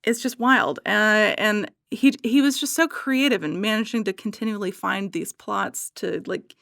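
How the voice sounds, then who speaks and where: A woman podcast guest chatting casually and enthusiastically, often halting to search for words. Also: medium pitch, gravelly, fairly bright tone, no room sound, clean studio sound. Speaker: podcast guest, writer and illustrator.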